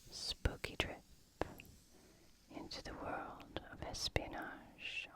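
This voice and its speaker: A woman hosting ASMR in a whisper, deliberate and measured, with long pauses. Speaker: host. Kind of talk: whispered ASMR narration with a spooky espionage theme.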